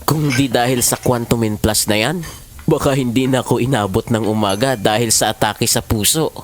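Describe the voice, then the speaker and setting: A man's voice: medium pitch, moderate pace, noisy background, close to an everyday voice. A man playing an exasperated but loving widowed father in an audio drama, earnest, with a Filipino accent. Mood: affectionate. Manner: earnest, mixes English into his talk. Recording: music bed under the voice.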